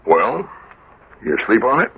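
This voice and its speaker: An actor playing a small-town prankster turned earnest and shrewdly sceptical, in a rough, folksy radio drama style. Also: male voice, lowish voice, very animated, slow, noisy background, exaggerated voice. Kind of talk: 1980 radio drama acting. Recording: dull vintage radio broadcast.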